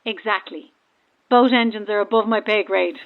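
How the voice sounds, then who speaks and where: A woman's voice: medium pitch, moderate pace. A woman playing a breezy, self-deprecating boat passenger with an Irish accent in a horror audio drama, heard through a radio filter. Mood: breezy, chatty. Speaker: caller from a stranded boat. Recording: thin, narrow-band marine radio call.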